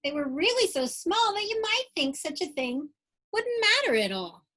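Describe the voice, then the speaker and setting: A woman, a teacher, reading a children's picture book aloud in an expressive, dramatic way for young listeners. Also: high-pitched, normal speed.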